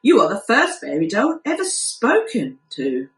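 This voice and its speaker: A woman voicing a small, curious male kitten in a storybook, excitable and pleading. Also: medium pitch, measured pace, exaggerated voice. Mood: friendly. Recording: echoey room.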